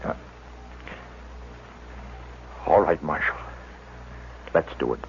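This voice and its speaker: A sick, feverish frontier settler speaks low, weak and haltingly, with stoic resignation. It is 1950s radio drama on a dull recording with faint noise. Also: male voice, vocal fry. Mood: reluctant resolve. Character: gravely ill, stoic frontier settler father. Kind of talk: 1950s radio western acting.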